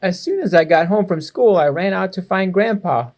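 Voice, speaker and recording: Higher-voiced man reading a storybook aloud. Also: animated, moderate pace, a little mellow. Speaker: assistant principal reading to students. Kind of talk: children's storybook read-aloud.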